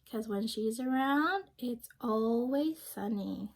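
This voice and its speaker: A young woman with a high voice reads a children's picture book aloud. She takes it very slowly, stopping to put questions to her young listeners and explain in simple words. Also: expressive. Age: young adult.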